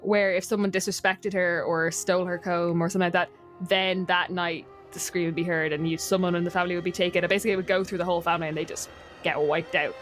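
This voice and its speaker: A woman's voice: on the low side, steady tone, quick pace, faint music behind. An Irish woman chatting casually as a guest, telling stories in a wry, self-deprecating way. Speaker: podcast guest. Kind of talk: casual storytelling chat.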